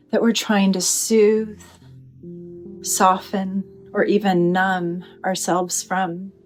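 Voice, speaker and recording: Slow, gentle woman guiding meditation. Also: mid-range voice. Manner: gentle, soothing, deliberate phrasing with pauses. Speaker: therapist leading a guided meditation. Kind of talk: guided meditation narration.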